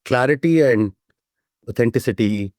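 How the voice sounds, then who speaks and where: A man's voice: medium pitch, moderate pace, darkish tone, no room sound, studio quality. A man talking as a startup CEO guest in a casual interview, keen and eager, often tagging his sentences with "right?". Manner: enthusiastic, repeats "right?" as a tag.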